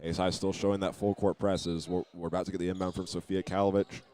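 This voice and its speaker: Low, even-toned man calling basketball. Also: fast talker. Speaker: play-by-play announcer. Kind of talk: live high school basketball radio commentary. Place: indoor school basketball gym. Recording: live gym broadcast with clear background noise.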